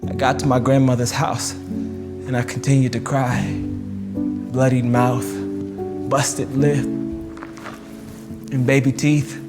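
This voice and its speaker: An actor playing a wounded, reflective man recounting a painful childhood memory in a theatrical memoir monologue, with deliberate pauses. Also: medium pitch, level tone, over loud music, close to an everyday voice. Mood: hurt, remembering. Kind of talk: filmed dramatic monologue reading, first-person memoir.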